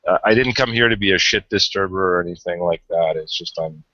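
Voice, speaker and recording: A man's voice: low-pitched, brisk, sharp tone. A Hungarian-born man chatting casually in an online hangout, rambling and hesitant, swearing often. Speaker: guest caller. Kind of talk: casual chat. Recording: lo-fi online call audio.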